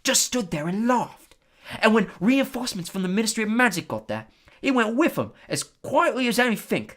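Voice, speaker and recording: A reader voicing Stan, a chatty young male bus conductor who gossips loudly in working-class London speech. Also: mid-range voice, sing-song, moderate pace, voice pushed up. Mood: excited gossip.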